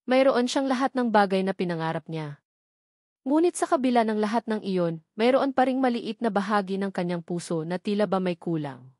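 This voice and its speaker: A woman's voice: medium pitch, darkish tone, no echo, studio quality. A woman reads a scripted dramatic story as its narrator.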